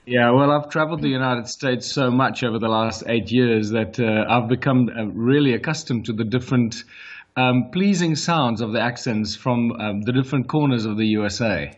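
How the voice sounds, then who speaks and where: A man with a strong South African accent, a polite and relaxed guest on a radio interview. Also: medium pitch, moderate pace, lo-fi sound. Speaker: guest author.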